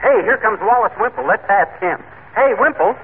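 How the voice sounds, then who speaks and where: An actor playing a blustering, wisecracking husband with an American accent, slangy and brusque, in 1940s radio comedy style. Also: male voice, high voice, lively intonation, normal speed. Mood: brash. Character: brash, blustering, wisecracking middle-class husband. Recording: noisy, tinny old radio broadcast.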